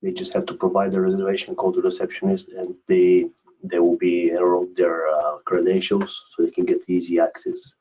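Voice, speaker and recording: A man giving a webinar product presentation as a specialist, speaking in a hesitant, halting way and often repeating words. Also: deep voice, level tone, warm tone, breathy edge, poor audio.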